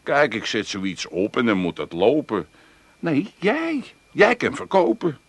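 A sly Amsterdam wheeler-dealer. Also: male voice, medium pitch, wildly swooping pitch, measured pace, gravelly, no echo, exaggerated voice. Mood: persuasive. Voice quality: pushy, wheedling salesman patter with English words. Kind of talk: radio comedy play acting.

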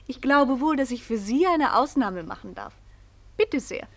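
High-voiced, polite maid. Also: female voice, expressive, unhurried, heavily acted. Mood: deferential. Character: polite female servant receiving callers. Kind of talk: 1950s German radio play acting.

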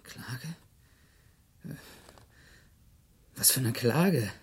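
A sullen drifter in a radio thriller, breathy husky voice, slow short answers. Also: male voice, mid-range voice, lively intonation, exaggerated voice. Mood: wary. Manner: hesitant, terse. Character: wary, sullen young drifter under suspicion. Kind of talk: German crime radio play acting.

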